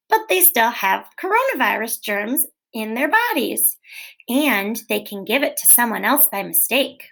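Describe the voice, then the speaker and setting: A woman teacher reading a children's book aloud in a gentle, clear, expressive way, posing questions to young listeners. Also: a little high, rough recording. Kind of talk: read-aloud storytelling for children.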